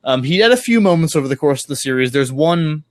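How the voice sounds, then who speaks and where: A man chatting casually as a podcast guest, halting and thinking aloud, correcting himself and swearing offhandedly. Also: on the high side, expressive, no echo, studio quality. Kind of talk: casual chat about comics.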